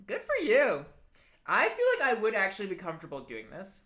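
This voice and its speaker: A man's voice: sits high, wildly swooping pitch, fairly quick, bright, forceful tone. A man co-hosting a casual chat show, giggly and teasing, with many fillers. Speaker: podcast co-host.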